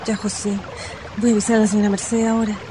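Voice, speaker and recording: A Chilean actress playing a working-class wife passing on secret news in a radio drama. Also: female voice, medium pitch, flat delivery, fast, noisy background, close to an everyday voice. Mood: hushed urgency. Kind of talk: radio play acting.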